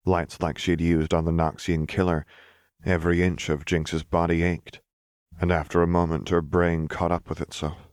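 A man with a low voice narrates dramatic fiction. His storytelling is measured and expressive, and the recording is close and dry, with no room sound. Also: smooth, no echo. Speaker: audiobook narrator.

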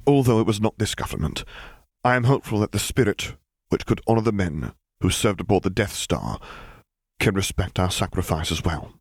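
A man voicing an earnest, courteous male admiral who speaks in a formal, measured way, in an audiobook. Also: on the low side, breathy edge, no echo, clean studio sound. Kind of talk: audiobook character voice.

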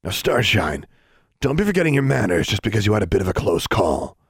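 A man voicing a folksy, calm school custodian in a storybook reading. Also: on the low side, fairly quick, slightly rough, no room sound, clean studio sound, heavily acted. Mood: placating.

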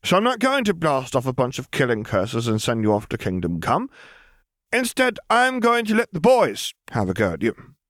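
Audiobook role: brash young female witch crime boss, played by a man, pitched well up, glib, wry and taunting. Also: huge pitch swings, moderate pace, a bit bright, no room sound, clean studio sound. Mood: weary, mock-polite. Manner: glib, mock-polite, theatrical taunting. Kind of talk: acted dialogue in fan fiction audiobook.

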